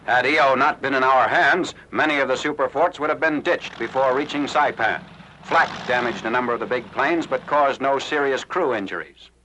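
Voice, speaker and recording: A man's voice: mid-range voice, moderate pace. An American man reads scripted narration as a 1940s newsreel announcer, in a formal, clipped military delivery. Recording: noisy 1940s newsreel film soundtrack.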